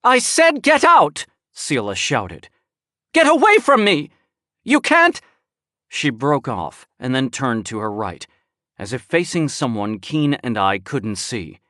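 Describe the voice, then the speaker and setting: A man voicing a frightened, indignant woman who shouts and pleads in a shrill voice, read for an audiobook. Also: expressive, unhurried, no echo, studio quality. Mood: terrified pleading. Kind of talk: audiobook character voice.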